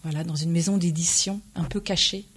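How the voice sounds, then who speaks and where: Earnest woman recounting, low voice. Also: steady tone, husky. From Belgium. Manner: earnest, measured, recounting with frequent pauses and "voilà". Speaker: playwright and stage director. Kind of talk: interview answers.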